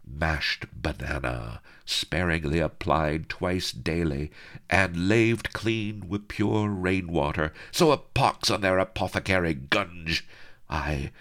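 A swaggering pirate sea queen speaks with a throaty, mocking drawl in mock-archaic phrases, as a man puts her on. Her voice is gravelly and unhurried. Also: deep voice, a bit bright, voice pushed up, full character voice.

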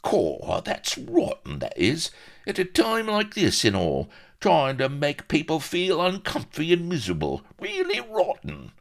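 A man's voice: a little high, wildly swooping pitch, a little slow, creaky, voice pushed up, exaggerated voice. A man voicing an indignant, whining peg-legged dwarf pirate in a comic storybook. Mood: whining indignation. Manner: whiny, Cockney-style exclamations.

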